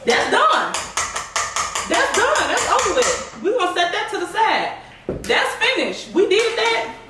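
A woman's voice: very high voice, very animated, unhurried, very noisy. A woman in her thirties hosting a casual cooking vlog in her home kitchen, chatty and playful, talking to viewers as y'all. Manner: playful, chatty, sing-song exclamations, addresses viewers as y'all. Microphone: far camera on tripod. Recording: roomy kitchen audio from a distant camera.

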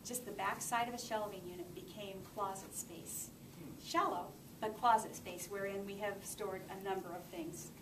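A woman's voice: mid-range voice, fairly even intonation, moderate pace. A middle-aged woman giving an informal public speech as a library manager, showing listeners around. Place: library community room, indoors. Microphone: far camera microphone. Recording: distant camera, echoey room, clear noise.

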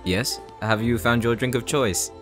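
A man playing a courteous, dry-witted elder brother, an ex-soldier turned barkeeper, in a fantasy audio drama, with measured, polite, wry delivery. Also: medium pitch, fairly bright tone, lightly acted. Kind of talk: anime-style fantasy audio roleplay acting. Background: background music.

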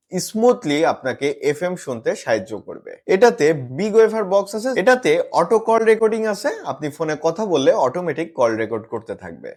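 A man presenting a product review to viewers as a gadget reviewer, mixing in English words and phrases. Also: a little high.

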